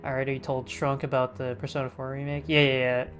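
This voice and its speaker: A male streamer comments casually on a game in a slangy, joking way. His voice is mid-pitched, with faint music in the background. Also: level tone, quick pace, a bit bright.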